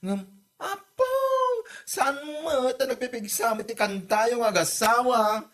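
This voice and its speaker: A man's voice: extremely high pitch, animated, takes it slowly, fairly bright tone, exaggerated voice. A man acting a scolding, gossipy villager in an Ilocano comedy audio drama, with an Ilocano accent. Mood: scolding, mocking.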